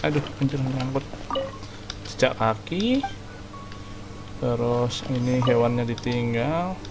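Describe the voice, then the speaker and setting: A man's voice: medium pitch, lively intonation, a little slow, low-quality recording. An Indonesian man doing casual, slangy let's play commentary, muttering along with the action of the game. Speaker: gaming streamer. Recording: loud game music behind voice. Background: video game music.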